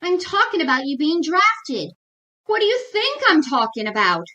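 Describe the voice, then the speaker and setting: Lady doing a 1950s radio comedy wife, real high n bouncy, gets huffy easily. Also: very animated, normal speed, pushed and bright, lo-fi sound, heavily acted. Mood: indignant. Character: Liz Cooper, excitable, indignant housewife of 1950s comedy. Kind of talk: old-time radio sitcom acting.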